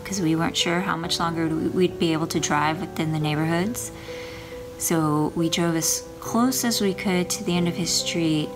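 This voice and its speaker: A woman recounting a personal experience in a measured, hesitant way. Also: low voice, poor audio. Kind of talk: candid personal storytelling. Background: music.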